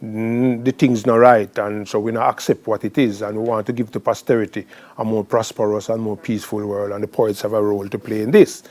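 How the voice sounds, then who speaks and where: A man's voice: on the low side, flat delivery, brisk, darkish tone. Man hosting with florid, rhetorical flair. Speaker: host of a poetry festival. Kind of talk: spoken introductions at a literary event.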